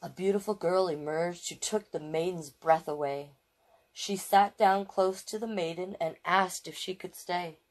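Expressive woman reading a storybook aloud. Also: lowish voice, a little slow. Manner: expressive, affectionate commentary, repeating phrases. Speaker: storytime host reading picture books. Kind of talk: children's story read aloud with chatty asides.

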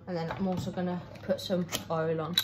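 A woman's voice: on the low side, level tone, fairly quick, background noise. A young woman vlogging as she cooks in her home kitchen, chatting casually with lots of fillers and restarts. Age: young adult. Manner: chatty, rambling, many fillers and self-corrections. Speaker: cooking vlogger. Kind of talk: casual cook-along chat. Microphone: phone camera propped close, moving around.

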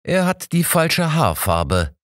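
A man voicing a shrewd, unflappable old detective in an audiobook, measured, deliberate and courteous. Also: on the high side, very animated, no room sound, studio quality, voice pushed up. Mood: matter-of-fact.

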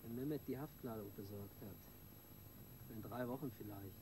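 An actor playing a cool, bossy robber in a radio crime drama, giving businesslike, colloquial instructions. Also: male voice, lowish voice, a little slow, noisy background, lightly acted. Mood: cool, commanding. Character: cool, bossy male robber planning a heist.

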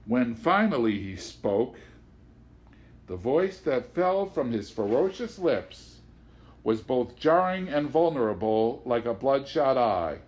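A man reading literary prose aloud as an audiobook narrator, with an expressive, theatrical delivery. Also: mid-range voice, slow. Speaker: audiobook reader.

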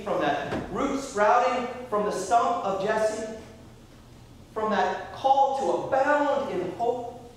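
A middle-aged American priest preaching in a high voice with a measured, unhurried delivery. He is heard from far across a large church, his words ringing with heavy echo. A faint hiss sits under the sound. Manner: measured preaching, reading quotations slowly. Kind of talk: sermon. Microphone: far camera at the back of the church.

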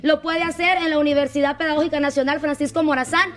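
Fast, very high-voiced woman presenter. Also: fairly even intonation. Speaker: TV host, interviewer. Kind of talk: live television interview. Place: TV studio set with sofas.

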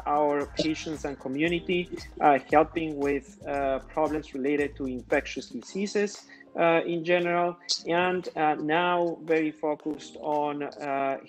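A man in his forties with a Peruvian Spanish accent, explaining things in halting phrases as a doctor being interviewed. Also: a little high, rough recording. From Peru. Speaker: interview guest, infectious diseases doctor. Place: indoor room, video call. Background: music.

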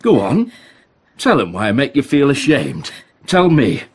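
A bitter, bullying self-made man in a radio play. His voice has a slightly husky edge and a mid pitch. He speaks bluntly, with scorn and menace. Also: wildly swooping pitch, unhurried, exaggerated voice. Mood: gloating, hard. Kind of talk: radio drama acting.

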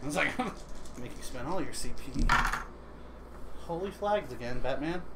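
A man's voice: medium pitch, lively intonation, a little slow. A man chatting casually and slangily, with some swearing, as he plays a tabletop game on a livestream. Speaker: board game player. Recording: noisy livestream room audio.

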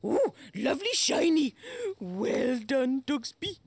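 A woman voicing a gentle, sensible shaggy dog puppet who coos and giggles, easily impressed. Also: high-pitched, gravelly, very noisy, exaggerated voice. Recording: loud music bed underneath.

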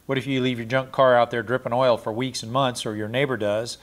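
A man's voice: mid-range voice, little pitch movement, brisk. A middle-aged man giving a verse-by-verse Bible study, explaining and repeating Greek words, seated in a small studio with a lapel mic. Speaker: Bible teacher.

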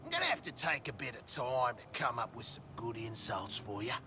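An actor playing a brash, crude rogue with an Australian accent in a video game, cheeky and slangy. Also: male voice, medium pitch, wildly swooping pitch, a little fast, pushed and bright, a little hiss or hum, exaggerated voice.

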